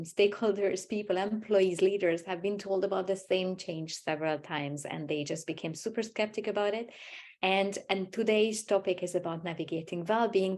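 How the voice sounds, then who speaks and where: A woman's voice: on the low side, darkish tone. A woman with a Hungarian accent hosting an online workshop, presenting to participants over a video call. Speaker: workshop host, change management specialist.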